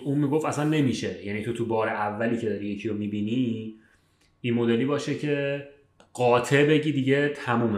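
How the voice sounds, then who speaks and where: Casual man in an echoey room. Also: medium pitch. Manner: informal, slangy, rambling explanations. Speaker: podcast co-host. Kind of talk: casual conversational chat. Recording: roomy, echoing sound.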